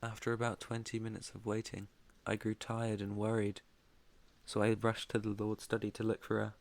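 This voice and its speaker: Man playing a low, soft-spoken British vampire master. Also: completely flat delivery, moderate pace, close to an everyday voice. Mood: haunted, solemn. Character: protective male vampire master, haunted, tender. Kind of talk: intimate ASMR roleplay monologue.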